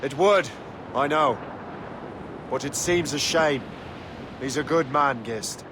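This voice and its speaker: An actor playing a principled, resolute young sea captain in a video game. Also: male voice, mid-range voice, slow pace, lightly acted. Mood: troubled, firm. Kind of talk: dramatic video game acting. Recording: loud ship, wind and waves noise.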